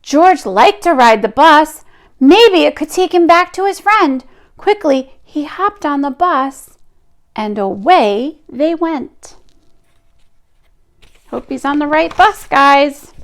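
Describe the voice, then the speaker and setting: Lady doing storytime for kids, high voice, super expressive and slow, little asides to the listeners. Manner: expressive, drawn-out emphasis. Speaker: storytelling teacher reading a children's book. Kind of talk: animated picture-book read-aloud with asides to children.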